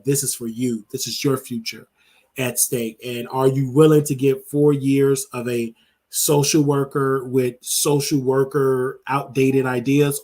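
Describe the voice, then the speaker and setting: Chicago guy hosting his show, earnest, lots of ums, pretty flat monotone talking. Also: mid-range voice. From Chicago, Illinois. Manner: earnest, halting with many ums and uhs. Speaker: show host. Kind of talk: political endorsement address to viewers.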